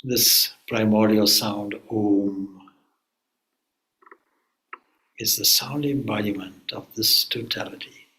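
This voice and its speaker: A man, a swami, leading a guided meditation in a calm, unhurried way with long pauses. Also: fairly low pitch, poor audio.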